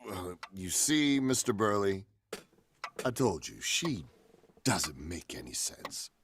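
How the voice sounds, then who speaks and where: A smug, dismissive salesman played by a man in an old radio play. The voice is fairly low but animated, hesitating with condescension over faint background noise. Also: slow, full character voice. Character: smug, dismissive male real estate agent. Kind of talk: 1940s radio drama acting.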